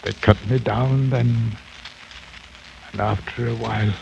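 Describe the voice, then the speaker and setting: A ragged, half-crazed wanderer in a golden-age radio play. He rambles in a haunted, very slow, halting way, with a gravelly creak. The old recording is muffled and hissy. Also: mid-range voice, steady tone, a little mellow. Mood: crazed, sly. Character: broken, half-crazed adventurer, a ragged wandering British beggar. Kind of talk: 1940s radio acting.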